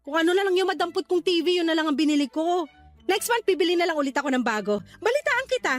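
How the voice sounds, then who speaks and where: Very high, swinging voice of a showy Filipino mother who loves to one-up people. Her radio drama delivery is exaggerated and animated, with faint music underneath. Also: fast. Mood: boastful, showy. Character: boastful, envious Filipino mother, one-upping her neighbor. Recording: light background music under dialogue.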